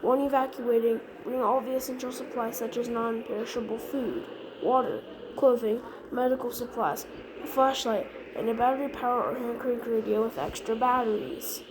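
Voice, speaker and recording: A woman reading a scripted mock emergency alert as a broadcast announcer, sometimes halting and repeating words. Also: on the high side. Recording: noisy recording with steady background noise.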